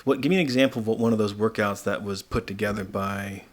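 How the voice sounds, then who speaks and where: A man with a fairly low voice hosts a podcast. He speaks in a casual, conversational way, in the style of an informal interview. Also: fast talker.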